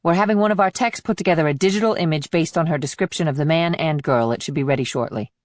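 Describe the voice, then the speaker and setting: A woman's voice: low voice, fast talker, no room sound, lightly acted. A woman voicing an insistent, businesslike female investigator in audiobook dialogue, firm and explanatory.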